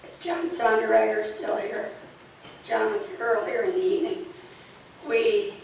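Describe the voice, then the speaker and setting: Hoarse woman presenting in an echoing hall. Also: on the high side, a little hiss or hum. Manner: informal, chatty, a little halting. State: losing her voice, hoarse. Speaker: awards presenter at a fan club banquet. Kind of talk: speaking to a banquet crowd over a PA. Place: large banquet hall. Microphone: PA microphone recorded from a distance. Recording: distant echoey banquet hall.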